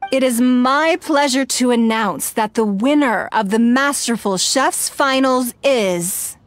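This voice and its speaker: A woman's voice: a little high, slight background noise. A woman playing an elegant, dignified figure of authority, making a stately formal announcement in a game cutscene. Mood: gracious, suspenseful. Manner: stately, measured.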